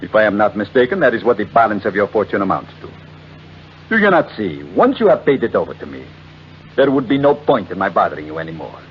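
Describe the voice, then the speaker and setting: Suave, low-voiced, menacing gentleman blackmailer. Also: big pitch swings. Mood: smugly polite. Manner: oily, overly formal politeness, deliberate phrasing. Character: suave, menacing male blackmailer, coolly polite. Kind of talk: 1940s radio mystery acting. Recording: muffled old radio recording with noisy hum.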